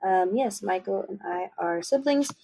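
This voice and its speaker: A woman from Kansas co-hosting a book podcast, chatting casually with frequent pauses and ums. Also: mid-range voice, vocal fry, slightly rough. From Kansas, USA. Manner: relaxed, unhurried with frequent ums. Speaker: podcast co-host. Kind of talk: casual chat.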